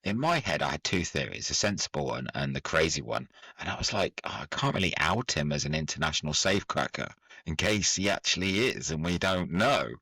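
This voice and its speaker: Low-voiced man with a bright edge. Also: fairly quick, vocal fry, slightly rough, no room sound. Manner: animated, acts out quotes. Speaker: podcast co-host. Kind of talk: casual podcast chat.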